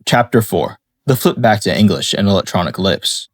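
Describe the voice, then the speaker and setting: A man reading a scripted analysis of a song in a measured, explanatory way. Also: sits low, animated, dry recording, clean studio sound. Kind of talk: scripted narration.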